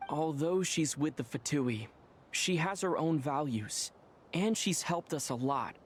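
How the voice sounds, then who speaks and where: An actor playing an earnest, heroic young traveler in a video game, sincere and thoughtful in manner. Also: male voice, fairly high pitch, expressive, unhurried, a little hiss or hum.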